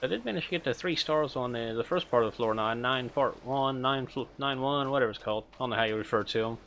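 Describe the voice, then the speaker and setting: A male streamer giving relaxed, rambling gameplay commentary and chatting with viewers. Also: medium pitch, fast, a little hiss or hum.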